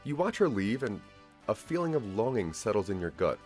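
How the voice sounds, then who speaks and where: A man narrating a scripted audio drama in the second person, with a measured storytelling delivery. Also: lowish voice, very animated, a little mellow, faint music behind.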